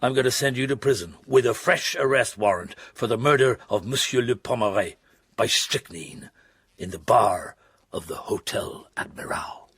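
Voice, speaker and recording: An actor playing a shrewd male chief inspector in a radio drama, with a gravelly husky voice and measured, dry delivery. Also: mid-range voice, fairly bright tone, lightly acted. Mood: stern accusation.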